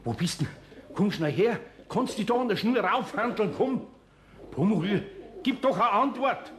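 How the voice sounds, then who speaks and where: Flustered Bavarian craftsman, animated, echoey. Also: high-pitched, unhurried, slight background noise. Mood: frantic worry. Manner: flustered, fussing, repeats himself. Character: kindly, flustered, grumbling older master craftsman. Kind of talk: German children's radio play acting.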